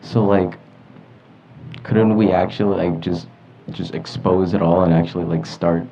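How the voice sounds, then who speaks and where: A man's voice: sits low, fairly even intonation, measured pace, warm tone. A young American man chatting casually as a podcast panelist. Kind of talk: casual chat. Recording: dull audio with faint room noise.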